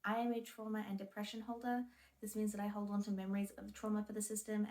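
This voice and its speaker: Soft-spoken woman in her twenties. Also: mid-range voice, rough recording. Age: 20s. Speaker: video creator. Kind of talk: interview-style self-introductions.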